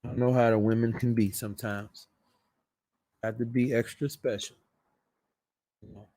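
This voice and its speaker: A man's voice: mid-range voice, level tone, moderate pace, dark tone. A man hosting a live stream, chatting casually and informally with his viewers, with trailing asides. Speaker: live stream show host.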